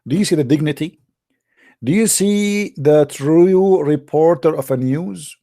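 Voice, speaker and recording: A man's voice: a little high, a little slow, mellow, dry recording, clean studio sound. A man hosting a live call-in show, speaking in an emphatic, sarcastic, preaching way.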